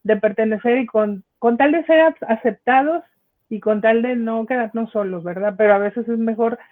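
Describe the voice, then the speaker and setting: A man's voice: very high-pitched, normal speed. A man, a podcast guest, reflecting at length in long, winding sentences. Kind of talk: casual conversation. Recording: tinny phone-like call audio.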